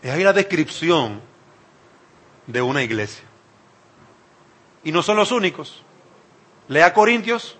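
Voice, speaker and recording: A man's voice: fairly high pitch, very animated, a little slow, pauses often, a bit bright. Emphatic Dominican preacher man. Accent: Dominican Spanish. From Dominican Republic. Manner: emphatic, repeats phrases for effect. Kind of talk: sermon.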